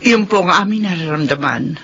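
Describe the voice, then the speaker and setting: A woman's voice: low voice, lively intonation, a little fast, a bit bright, dull audio, soft background music. A woman playing an earnest, dignified middle-aged school principal and mother in a radio drama, speaking politely and with measure.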